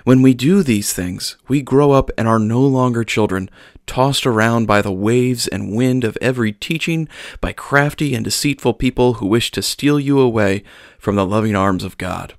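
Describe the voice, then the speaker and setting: A man's voice: mid-range voice, moderate pace, no echo, studio quality, lightly acted. A man voicing an earnest young pastor, sermonizing and talking with his congregation. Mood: preaching.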